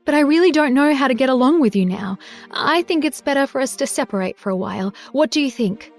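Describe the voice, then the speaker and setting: A woman voicing a distraught young wife who pleads in a voice that climbs high when she is upset. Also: lively intonation, fast talker, soft background music. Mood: tearful.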